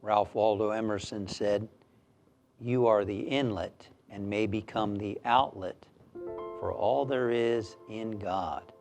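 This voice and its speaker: A man leading a guided meditation as a minister, speaking in a calm, soothing, measured way with long pauses. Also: on the low side, soft background music.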